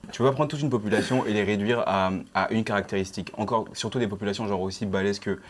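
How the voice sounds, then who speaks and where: A man in his twenties from Benin, a student speaking quickly and assuredly in a casual group discussion. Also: lowish voice, background noise. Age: 20s. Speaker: engineering student guest.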